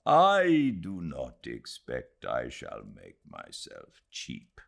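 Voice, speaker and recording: A man voicing a courteous, dignified elderly Indian nobleman in an audiobook, stately and deliberate. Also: extremely low pitch, huge pitch swings, creaky, a bit bright, pitched below the performer's own voice, full character voice. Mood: pleasantly courteous. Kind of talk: audiobook character voice.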